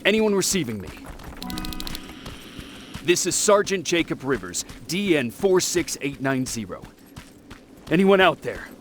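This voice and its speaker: A man's voice: fairly high pitch, sing-song, measured pace, long pauses. A man playing a weary, determined resistance sergeant in a dramatic video game, earnest and grim. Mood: desperate calling. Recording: music behind the voice.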